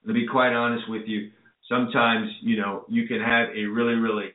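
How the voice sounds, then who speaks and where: A man's voice: medium pitch, flat delivery, brisk. A man hosting a call-in radio show, earnestly advising listeners and then bringing on a guest. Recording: echoey, phone-like lo-fi audio.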